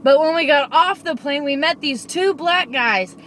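A woman's voice: high voice, moderate pace, fairly bright tone. A young woman making up a playful bedtime story in a silly, giggly manner, sitting in a car. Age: young adult. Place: inside a parked car. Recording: noisy car interior, vehicle ambience.

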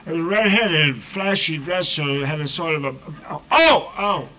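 High, bright boozy rooming-house grouch. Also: male voice, a little slow. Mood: muddled, appeased. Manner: slangy, blustering, muddled. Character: grubby, boozy, belligerent male rooming-house manager. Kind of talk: 1940s radio acting. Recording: noisy 1940s radio recording.

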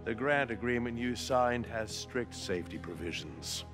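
An actor playing a smug, powerful middle-aged mayor in a video game, patronizing and falsely jovial. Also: male voice, mid-range voice, expressive, normal speed, natural voice. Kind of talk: video game cinematic acting. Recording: music behind the voice.

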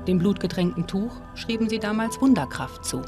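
A woman giving calm, measured scripted narration for a documentary. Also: mid-range voice. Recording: studio voice-over with clear music underneath.

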